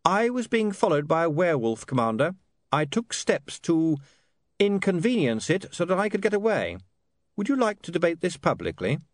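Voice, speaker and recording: A man doing a defiant, sharp-tongued young man. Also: on the high side, very animated, no room sound, studio quality, pitched above the performer's own voice. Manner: defiant, sharp, quick comebacks.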